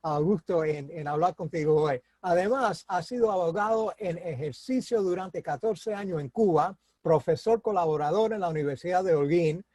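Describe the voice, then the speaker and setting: A man's voice: high-pitched, normal speed, few pauses, warm tone, no echo. A man interviewing a guest in Spanish, reading a long formal introduction and stumbling over words now and then. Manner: reads a long guest biography, stumbling and joking at himself.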